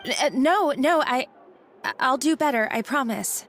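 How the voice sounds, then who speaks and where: A timid young woman working in a kitchen in a video game. She stammers apologetically in a high, slow, hesitant voice, with some ambient noise behind her. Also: fairly bright tone. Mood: nervous, apologetic.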